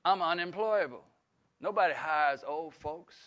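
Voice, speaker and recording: A man's voice: on the high side, measured pace, a bit bright. An older man, preaching a folksy Bible-study sermon full of fillers and restarts. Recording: dull lo-fi recording.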